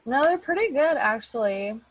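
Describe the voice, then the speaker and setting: A woman's voice: high-pitched, expressive, moderate pace, poor audio. A woman chatting casually as the host organising a group recording from her room at home. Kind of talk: casual chat before a recording. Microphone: small personal microphone on a group call.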